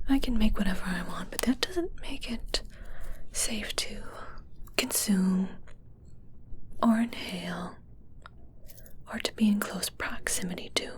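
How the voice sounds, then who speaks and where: Woman playing a slow whispering evil witch. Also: mid-range voice, close to an everyday voice. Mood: sly, condescending. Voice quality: breathy whisper. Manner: slow, teasing, menacingly sweet. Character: evil witch, sly, coolly amused, condescending mistress. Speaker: ASMR roleplay performer. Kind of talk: whispered ASMR roleplay.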